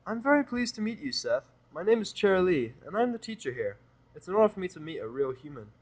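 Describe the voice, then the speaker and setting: A man voicing a polite, gentle adult mare who teaches school, in a story reading. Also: high voice, big pitch swings, fast talker, pitched above the performer's own voice. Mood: courteous. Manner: courteous, gentle.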